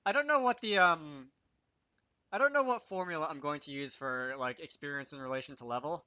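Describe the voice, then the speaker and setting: A man with a very bright, crisp voice talks through a programming tutorial off the cuff. He thinks aloud haltingly, pausing to work things out, and the sound is close and dry. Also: a little high, dry recording, highs cut off. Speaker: game developer presenting a tutorial series. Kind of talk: unscripted screen-recorded programming tutorial.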